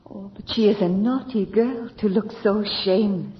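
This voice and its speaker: A woman's voice: medium pitch, normal speed, lightly acted. An actress playing a sly, fawning witch who serves a countess in a radio horror drama, gleefully insinuating and savoring her words. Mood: sly glee. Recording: muffled, echoey, faintly noisy old radio recording.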